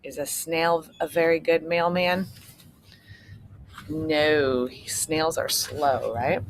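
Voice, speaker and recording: A woman reading a story aloud to children like a teacher, pausing to explain things simply and check in with her listeners. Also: deep voice, steady tone, a little hiss or hum. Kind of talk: read-aloud storytelling with asides to young listeners. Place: outdoors on a front porch. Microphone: computer propped on a chair.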